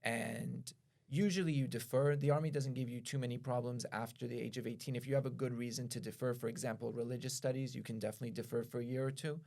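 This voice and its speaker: Guy from israel, bit of vocal fry, slow careful explainer on a podcast, stops and rephrases a lot. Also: mid-range voice, steady tone, darkish tone. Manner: measured, explains at length with pauses and self-corrections. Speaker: podcast guest, attorney. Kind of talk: explanatory conversation.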